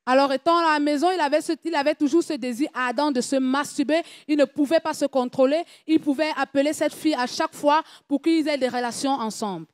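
A woman with a high voice works as an interpreter in church. She relays each statement in a steady, measured way. The recording is dry and close. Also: dry recording. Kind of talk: French interpretation of testimony.

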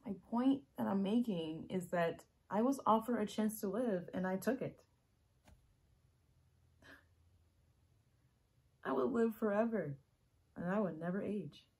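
A woman performing a dramatic monologue as an earnest, ageless young woman making an intimate, halting confession to her lover. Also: mid-range voice, creaky, a little mellow, close to an everyday voice. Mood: pleading, insistent.

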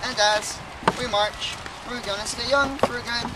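A man's voice: very high voice, fairly even intonation, measured pace. A young man telling a story and half-singing to friends outdoors on a beach. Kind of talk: casual storytelling. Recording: loud wind noise, distant from camera.

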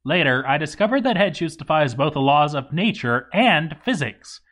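A man giving an expressive scripted reading of a game story, with wry, deadpan asides. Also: a little high, normal speed. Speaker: let's-read narrator.

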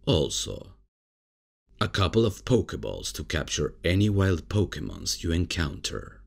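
A man playing a laid-back, bumbling substitute professor in a comedic ASMR roleplay, casual and hesitant, with a deep, gravelly, husky voice. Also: big pitch swings, measured pace, exaggerated voice. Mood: casual, amused. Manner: casual, hesitant, chuckling, unprofessional. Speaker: roleplay audio performer.

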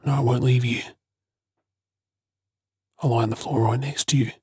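An Australian man playing a gruff but caring zombie apocalypse survivor in a breathy, often whispered ASMR role-play. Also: medium pitch, steady tone, normal speed, darkish tone, a little hiss or hum, natural voice. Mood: tired, reassuring.

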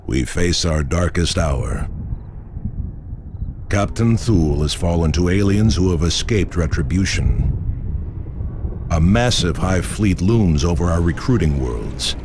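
Slow, deep, solemn commander. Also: male voice, lively intonation, background noise. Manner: slow, weighty, authoritative. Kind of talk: video game cutscene acting.

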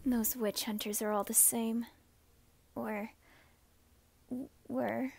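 A woman's voice: on the high side, steady tone, takes it slowly, gravelly, darkish tone, slightly rough, exaggerated voice. A woman playing a mischievous, grateful young witch sister back from the grave, in an ASMR roleplay. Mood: curious.

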